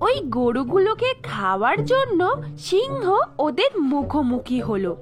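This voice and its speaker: Female storyteller, very high pitch, lively intonation, scripted children's tales, deliberate phrasing, backing music. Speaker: storyteller narrator.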